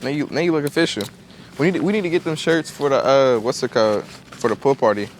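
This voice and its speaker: A man's voice: medium pitch, quick pace. A young adult American man bantering casually with friends in a slangy, joking way, as a prank vlogger. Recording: noisy location sound.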